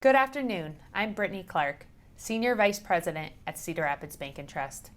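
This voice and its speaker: Low-voiced woman giving a formal introduction. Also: big pitch swings, normal speed. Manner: polished, formal. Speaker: bank executive introducing a speaker.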